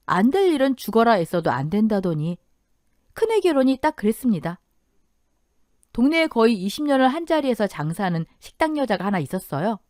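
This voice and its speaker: A woman narrating a scripted first-person story for an audio drama in an expressive, storytelling manner. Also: mid-range voice, no room sound, studio quality.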